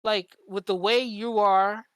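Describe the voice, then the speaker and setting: A man's voice: sits high, steady tone, slow pace, a bit bright, smooth, no room sound. Candid man chatting, close dry sound. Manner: rambling, candid, freely swearing. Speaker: podcast co-host. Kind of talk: casual chat.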